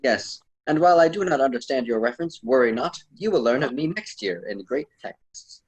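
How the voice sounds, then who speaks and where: A man's voice: medium pitch, expressive, moderate pace, breathy edge, rough recording. A man playing a patient ancient Roman poet and mentor in a radio drama, speaking in formal, old-fashioned phrases. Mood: grave, instructive. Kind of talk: theatrical radio drama dialogue.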